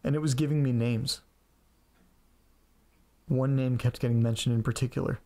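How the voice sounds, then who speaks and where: Actor as gravelly, casual everyman in audio drama. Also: mid-range voice, fairly even intonation, moderate pace, warm tone, lightly acted. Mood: grave, haunted. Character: quirky, scatterbrained man living with pets and old letters. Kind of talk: audio drama acting, first-person narration and casual dialogue.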